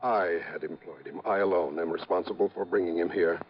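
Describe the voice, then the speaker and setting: Casting: worried, careful male government scientist, low husky voice, formal hesitant speech, muffled vintage radio drama. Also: brisk. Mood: grave, defensive. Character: anxious, precise, guilt-ridden male government scientist doctor. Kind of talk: 1950s radio drama acting.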